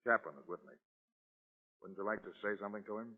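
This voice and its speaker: Man playing a curt commanding warden, lowish pitch, authoritative, vintage radio drama, dull sound. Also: fast, close to an everyday voice. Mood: stern. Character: brusque, authoritative male prison warden. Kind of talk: 1940s radio drama acting. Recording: muffled old radio recording.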